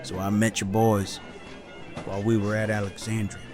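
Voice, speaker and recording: An actor playing a gruff, laconic survivor with a soft side, muttering tersely in an audio drama. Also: male voice, lowish voice, flat delivery, normal speed, music in the background, natural voice. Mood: gruff, warm. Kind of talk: fan audio drama acting.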